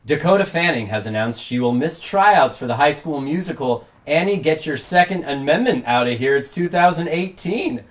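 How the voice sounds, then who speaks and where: A man's voice: a little high, lively intonation, moderate pace, hardly any pauses. A man hosting a late-night comedy talk show, reading mock news in a dry, mock-serious way and interviewing a guest.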